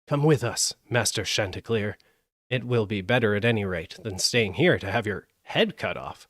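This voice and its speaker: A man's voice: fairly low pitch, animated, normal speed, fairly bright tone, no room sound, studio quality. A man voicing a sociable, practical talking donkey in a storybook reading, persuasive and matter-of-fact. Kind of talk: live storybook character voice.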